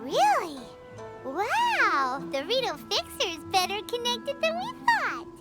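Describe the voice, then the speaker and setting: Tiny, very high-pitched fairy girl. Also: huge pitch swings, slow pace, full character voice. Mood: surprised. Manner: exclaiming, refers to self in third person. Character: small chirpy fairy-like girl companion, childlike, nosy, excitable. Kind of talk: video game voice acting. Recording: loud music behind the voice. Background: loud game music.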